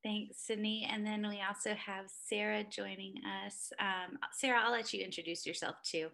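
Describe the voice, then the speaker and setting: A woman's voice: medium pitch, fairly even intonation, normal speed, creaky, bright, forceful tone. A woman pastor hosting a casual church conversation, upbeat and chatty with many fillers. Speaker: associate director and pastor, host.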